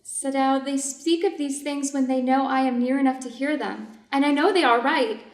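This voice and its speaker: A woman's voice: high-pitched, normal speed. A woman playing an anxious, devoted doctor's wife in a reader's theater piece, earnest and careful in her delivery. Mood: worried, torn. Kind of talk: reader's theater stage reading. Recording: echoey room, distant mic.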